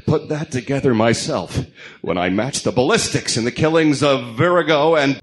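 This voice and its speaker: A man voicing a grim, brooding masked vigilante hero, terse, stern and deliberate, in a comic-book radio drama. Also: medium pitch, natural voice. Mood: terse, serious.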